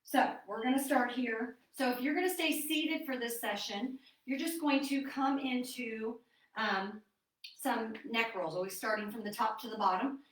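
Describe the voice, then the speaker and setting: A woman fitness instructor leading a seated online exercise class, chatty with fillers, then giving calm breathing cues. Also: on the high side, moderate pace. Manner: chatty and apologetic, with many fillers. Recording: roomy echo, distant from mic.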